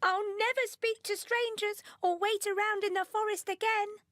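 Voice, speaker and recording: A woman's voice: very high voice, fairly even intonation, moderate pace, a bit bright, no echo, pitched above the performer's own voice. A woman voicing an innocent, polite young girl in a storybook, breathless and wide-eyed. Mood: tearful. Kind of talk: storybook reading.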